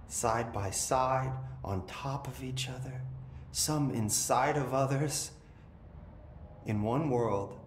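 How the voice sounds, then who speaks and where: An actor playing a possessed, dream-obsessed brother, eerily serene and unhinged, musing in slow, deliberate fragments in a video game. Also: male voice, medium pitch, roomy sound, slight background noise, natural voice. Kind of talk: cinematic game dialogue, rambling monologue.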